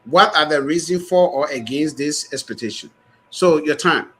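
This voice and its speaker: A man, hosting a radio talk show and making loud, rambling announcements. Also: fairly high pitch, normal speed. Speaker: host.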